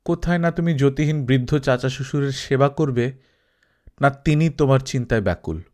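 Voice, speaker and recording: A man's voice: medium pitch, fairly quick, darkish tone, natural voice. A man voicing a reproachful young woman.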